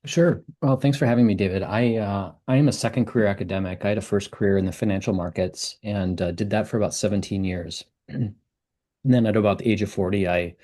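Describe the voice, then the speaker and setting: A man's voice: fairly low pitch, fairly quick, mellow. A man in his fifties from the US Midwest, a professor and interview guest, explaining in fluent storytelling. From Minnesota, US Midwest. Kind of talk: conversational interview.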